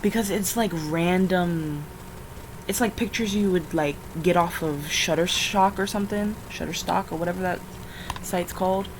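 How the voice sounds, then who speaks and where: A tired young woman with a scratchy morning voice talks in a rambling, casual way. She uses plenty of ums and restarts, and her pitch sits on the lower side. A faint hiss of background noise runs under the recording. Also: normal speed. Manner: rambling, frequent fillers, self-corrections. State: just woke up, tired. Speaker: vlogger. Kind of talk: casual rambling vlog while drawing.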